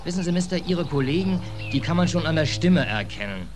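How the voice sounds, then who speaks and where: An actor playing a cheeky, easygoing American sailor who talks in a hesitant, rambling, casual way in a 1940s German radio play. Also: male voice, on the high side, expressive, brisk, over music, natural voice. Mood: wistful, rambling.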